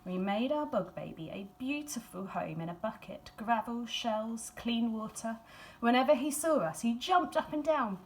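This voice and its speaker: A woman reads a children's storybook aloud expressively, in a measured storytelling manner with dramatic emphasis and hushed phrases. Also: on the high side, animated, slight background noise, poor audio. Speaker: school staff member reading a picture book aloud.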